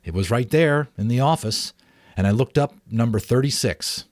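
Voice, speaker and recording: A man voicing a thoughtful father in a storybook, confiding in a measured manner. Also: mid-range voice, a little mellow, no room sound, studio quality, natural voice.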